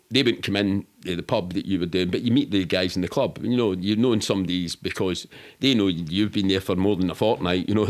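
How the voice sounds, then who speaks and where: Middle-aged man, Glaswegian accent, lowish voice, casual anecdotal chat, rambling and sweary, matter-of-fact. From Scotland. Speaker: podcast guest.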